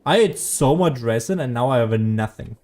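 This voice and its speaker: A man's voice: medium pitch, expressive, normal speed, darkish tone. A man giving casual, rambling video game commentary, often losing his train of thought like a streamer talking as he plays.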